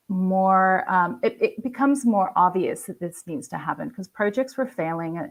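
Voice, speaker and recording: A woman who works in change management, answering interview questions in measured, step-by-step explanations over a video call. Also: fairly low pitch. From not from Australia. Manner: measured, walks through history step by step.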